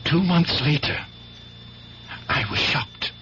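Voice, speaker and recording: An actor playing an earnest, blunt doctor, grave and emphatic, in a 1970s radio drama. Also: male voice, fairly high pitch, animated, bright, forceful tone, a little hiss or hum, heavily acted. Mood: troubled recollection. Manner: grave, emphatic, deliberate phrasing. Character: earnest, worried doctor, a man, blunt and insistent. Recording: dull, hummy old radio recording.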